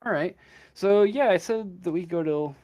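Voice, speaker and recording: A man speaking as game master in casual tabletop game talk, halting and searching for words as he goes. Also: high-pitched, dull audio.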